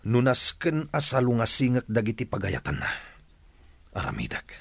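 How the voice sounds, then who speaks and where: A resentful crime heir in a radio drama. His voice is forceful and rough-edged, with lively intonation and a bitter, confrontational manner. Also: mid-range voice, normal speed, no room sound, full character voice. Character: resentful hot-tempered grown son of syndicate boss. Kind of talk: Ilocano radio drama acting.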